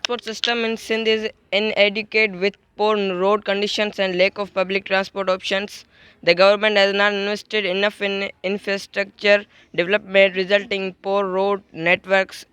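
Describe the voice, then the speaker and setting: A man's voice: sits high, monotone, moderate pace, sharp tone, smooth, no echo. A man reading a prepared statement steadily in a debate. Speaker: debate participant.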